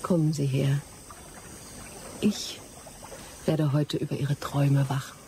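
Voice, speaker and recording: An actress playing a curious young lady traveller, polite and gently mocking, in a German radio drama. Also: sits low, level tone, slow pace, slight background noise. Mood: tender.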